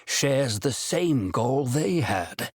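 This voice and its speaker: An actor playing a grave, thoughtful older village leader in a video game, serious and deliberate. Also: male voice, medium pitch, very animated, heavily acted.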